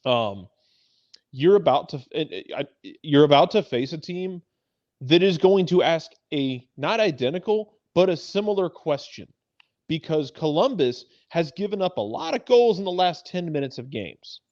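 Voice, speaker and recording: A man's voice: fairly high pitch, expressive, moderate pace, a little mellow, no echo. A man giving casual sports analysis as a pundit, making his points with repeated phrases for emphasis. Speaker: soccer pundit on a talk show. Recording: slightly dull, lo-fi audio.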